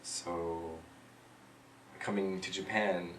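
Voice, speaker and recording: A young man answering interview questions in a relaxed way, thinking aloud with lots of ums and uhs. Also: low voice, extremely slow. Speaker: interviewee, rover systems engineer. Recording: roomy, distant mic, clear background noise.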